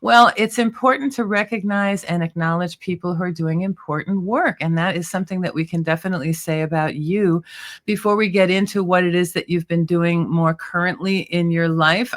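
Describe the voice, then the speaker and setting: A woman hosting an interview show, effusive and lively as she asks questions and praises her guest. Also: fairly low pitch. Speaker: show host.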